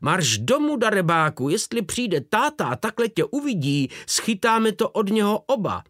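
A man's voice: high-pitched, very animated, fairly bright tone, no echo, clean studio sound, pitched above the performer's own voice. A man reading an audiobook voices a talkative middle-aged boat-keeping woman who speaks in colloquial common Czech. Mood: scolding. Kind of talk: audiobook character voice.

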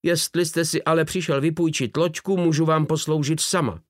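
A man's voice: on the high side, fairly even intonation, no echo, clean studio sound, pitched above the performer's own voice, lightly acted. A man reading an audiobook voices a talkative middle-aged boat-keeping woman who speaks in colloquial common Czech. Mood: chatty. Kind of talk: audiobook character voice.

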